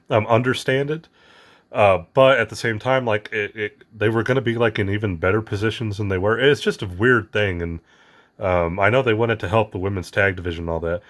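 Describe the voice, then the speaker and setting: Hoarse, raspy man vlogging. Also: on the low side, a bit bright. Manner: rambling, lots of ums and uhs. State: hoarse, losing his voice. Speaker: unboxing channel host. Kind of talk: casual unboxing vlog.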